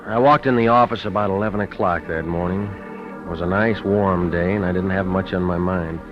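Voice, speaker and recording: An actor playing a cynical, wisecracking private detective with deadpan, clipped delivery in a 1940s radio noir drama. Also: male voice, sits low, a little fast, close to an everyday voice. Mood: wry narrating. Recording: dull old radio recording with music.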